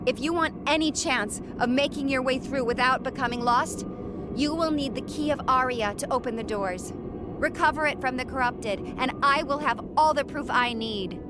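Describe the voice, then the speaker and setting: An actress playing a stern, wary guardian of a refuge in a video game, speaking formally and with command. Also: female voice, high voice, normal speed, background noise, close to an everyday voice. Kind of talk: video game cutscene acting.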